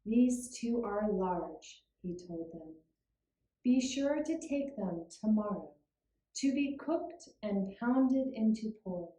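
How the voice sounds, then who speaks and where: Slow-spoken woman storyteller in an echoey room. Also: mid-range voice, animated, mellow. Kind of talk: scripted storybook narration for a puppet show. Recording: roomy, echoing room sound.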